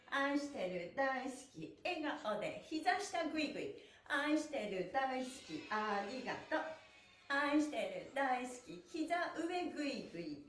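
A woman's voice: sits high, fairly bright tone. A middle-aged woman instructor leading a live stretching class, cheerful and playful, chanting in rhythm as she moves. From Japan. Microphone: camera across the room. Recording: echoey small room.

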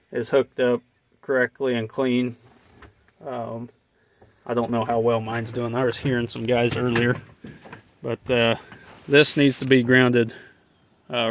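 A man's voice: medium pitch, little pitch movement, measured pace, pauses often, a little hiss or hum. An American man giving a casual hands-on tutorial in a folksy, plain-spoken way. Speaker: vlogger and trucker demonstrating gear. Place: inside an old truck cab, later outdoors by it.

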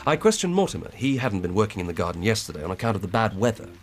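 An actor playing an earnest, methodical English police inspector in a radio drama, husky and deferential as he reports. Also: on the low side, sing-song, slight background noise. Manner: measured, deferential reporting of evidence. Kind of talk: BBC radio drama acting.

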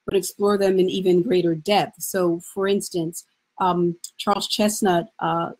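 A woman's voice: fairly low pitch, level tone, measured pace, darkish tone, poor audio. A middle-aged woman scholar explaining her views in a panel discussion over a video call. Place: indoor room at home. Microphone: video call, computer microphone.

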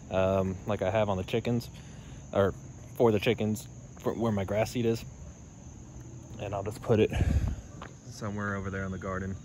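A young man talking casually to his phone camera outside on a rural homestead, relaxed and chatty. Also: lowish voice. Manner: relaxed, chatty, self-deprecating. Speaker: homestead vlogger. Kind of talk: casual vlog narration. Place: outdoors on a rural homestead yard. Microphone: phone held at arm's length. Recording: outdoor phone audio with insect noise. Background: crickets and insects.

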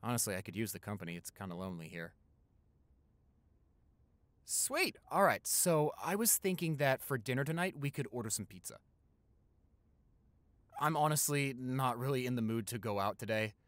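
A man's voice: mid-range voice, animated, moderate pace, long pauses, a bit bright, no room sound, close to an everyday voice. A man playing an affectionate, easily flustered young boyfriend in an improvised intimate roleplay, chatty and tender, stammering when flustered. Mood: relaxed, affectionate.

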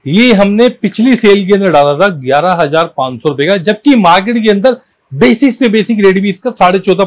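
High-pitched man pitching products. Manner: emphatic, repetitive, addressing viewers as brother. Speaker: car accessory shop seller presenting products. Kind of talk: informal sales pitch, product demonstration.

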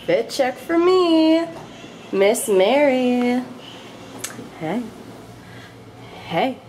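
Young woman goofing around with friends, kinda high voice, noisy hotel room. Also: expressive, drawn-out words, a little mellow. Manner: giggly, joking around. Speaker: vlogger. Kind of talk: casual chat with friends. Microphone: handheld camera.

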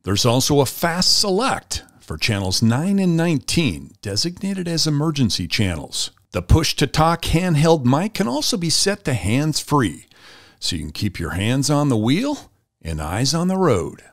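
Man narrating with lively, swinging pitch. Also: measured pace, dry recording, clean studio sound. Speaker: product reviewer and channel host. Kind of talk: scripted voice-over review narration.

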